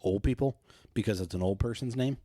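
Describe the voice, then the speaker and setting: A man's voice: lowish voice, fairly quick, dark tone, dry recording, clean studio sound. A man co-hosting a podcast in casual, profane, joking chat. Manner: joking, profane, laughs often. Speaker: podcast co-host.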